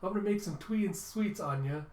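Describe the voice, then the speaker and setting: A man voicing a gentle, caring young woman from a cartoon, earnest and imploring. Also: high-pitched, brisk, voice pushed up, natural voice. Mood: kindly. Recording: roomy, echoing room sound.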